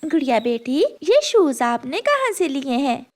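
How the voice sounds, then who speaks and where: A woman voicing a tender, loving poor mother bird in a gentle, affectionate way for a cartoon. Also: sits high, very animated, unhurried. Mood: puzzled. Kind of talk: Hindi moral-story cartoon dialogue.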